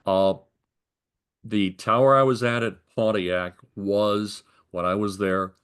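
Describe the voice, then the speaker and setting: Older American man, low-pitched voice. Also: fairly even intonation, takes it slowly, fairly bright tone, clean tone. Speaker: retired air traffic controller being interviewed. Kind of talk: conversational interview recollection.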